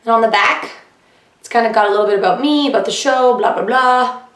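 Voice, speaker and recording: A woman comedian chatting casually in a vlog about her shows, her voice a little hoarse and often clearing her throat. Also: on the high side, a little fast, a bit bright. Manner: chatty and upbeat, with fillers. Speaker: comedian vlogging her diary. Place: living room of a flat, indoors. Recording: echoey room, heard from a distance.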